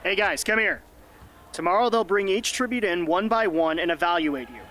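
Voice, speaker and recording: A man playing a gruff, sardonic middle-aged mentor in a radio drama, speaking bluntly and wryly. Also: high-pitched, lively intonation, normal speed, a bit bright, a little hiss or hum. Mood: urgent, instructive. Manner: blunt, wry, emphatic. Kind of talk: hobby radio drama acting.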